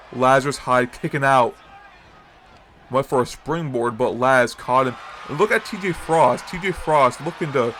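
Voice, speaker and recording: A man with a stuffy nose and sore throat calling a wrestling match as host, excitable and jumping to shouts on big moves. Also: fairly high pitch, a little hiss or hum. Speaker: wrestling commentator and show host. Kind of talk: play-by-play commentary.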